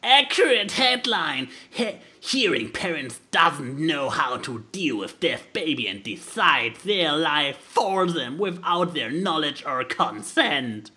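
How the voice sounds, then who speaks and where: Here is a smug, preachy internet activist, acted out by a man. The delivery is slow and mocking, with swooping intonation and a bright, sharp edge. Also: fairly high pitch, big pitch swings, exaggerated voice.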